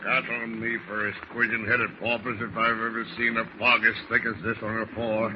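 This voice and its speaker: An actor playing a crusty older sailor in 1940s radio style, jovial with a sly menace, talking in a folksy seafaring dialect. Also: male voice, on the low side, monotone, normal speed, close to an everyday voice. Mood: folksy, crafty. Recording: muffled, noisy old radio recording.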